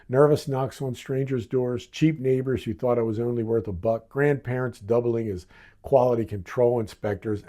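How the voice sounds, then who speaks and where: A man's voice: mid-range voice, warm tone. An American man in his sixties from Baltimore reads his own memoir as scripted narration, with wry, dramatic, punchy phrasing. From Baltimore, United States. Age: 60s. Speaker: author reading own memoir.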